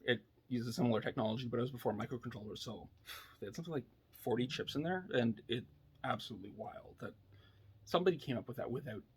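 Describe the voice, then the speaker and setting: A man giving a casual, unscripted technical demo in a laid-back, hesitant way, thinking aloud, with a husky, slightly gravelly voice. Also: mid-range voice, fast, slight background noise. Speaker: electronics builder demonstrating a device.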